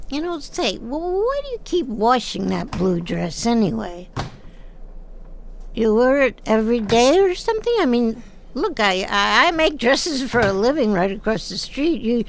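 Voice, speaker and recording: An older woman acting in an audio drama as a chatty, nosy seamstress, wistful and teasing, with a rambling, halting way of talking. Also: fairly high pitch, sing-song, gravelly, slight background noise, heavily acted. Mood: pushy, kindly.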